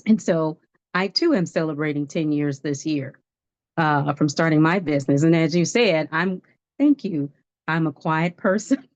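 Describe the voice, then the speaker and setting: Low-voiced woman in her fifties testifying. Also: no room sound, dull audio. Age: 50s. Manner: earnest, testifying, laughs at herself. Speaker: guest life coach. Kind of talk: livestream testimony.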